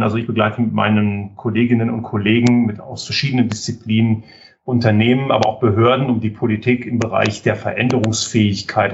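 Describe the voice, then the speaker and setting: A man's voice: fairly low pitch, dull audio, a little hiss or hum. A middle-aged German man, a guest on a podcast, explaining his ideas thoughtfully with frequent fillers. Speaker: guest, economic physicist and consultant.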